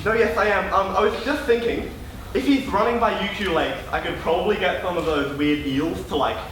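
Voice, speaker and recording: A man's voice: high voice, brisk, fairly bright tone. A man playing a hapless, awkward, bumbling superhero in an amateur comedy stage play. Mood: eager, bumbling. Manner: hesitant, bumbling. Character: hapless, pathetic, awkward male superhero eager to please. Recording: echoey hall from far off, noisy.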